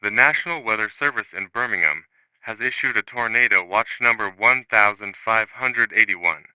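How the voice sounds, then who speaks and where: A man's voice: on the low side, pushed and bright, clean tone, no room sound, rough recording. A man's synthetic voice reading a scripted emergency weather bulletin in a formal announcer manner.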